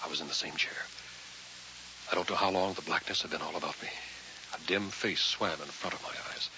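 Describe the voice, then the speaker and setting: An actor playing an uneasy minister in a vintage radio horror drama, speaking in a deliberate, brooding, hesitant way. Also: male voice, deep voice, steady tone, background noise, close to an everyday voice. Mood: haunted, tense. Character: uneasy ordained minister narrating his own ghost story. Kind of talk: vintage radio horror drama, first-person narration and dialogue. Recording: dull vintage radio recording with music.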